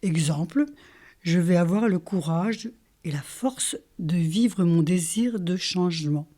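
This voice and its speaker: A woman leading a guided yoga nidra relaxation as a teacher, calm and soothing, in short phrases with pauses. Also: on the low side. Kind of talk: guided relaxation meditation, instructional.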